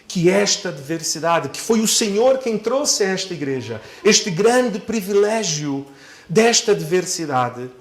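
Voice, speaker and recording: A man preaching to a church congregation as a pastor, phrasing his points deliberately and repeating key phrases for emphasis. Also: sits high.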